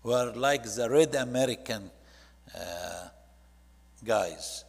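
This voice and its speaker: Egyptian guy giving a church slideshow talk, kinda slow and halting english, big echoey hall. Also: medium pitch, gravelly, breathy edge. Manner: halting, with short phrases and non-native English grammar. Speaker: church ministry leader presenting to a congregation. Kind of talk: presentation talk narrating photo slides.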